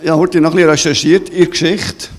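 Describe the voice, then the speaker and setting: A man with a Swiss German accent leading a church service, interviewing a guest in a chatty, halting way and praying. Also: fairly high pitch, flat delivery. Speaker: pastor.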